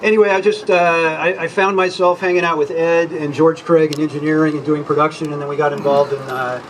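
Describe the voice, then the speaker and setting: A man's voice: a little high, fairly even intonation, fairly quick. A man in his sixties casually reminiscing to an audience, acting out bits of old conversations. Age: 60s. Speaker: former radio intern, panel guest. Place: public event with audience. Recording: distant event mic, background noise.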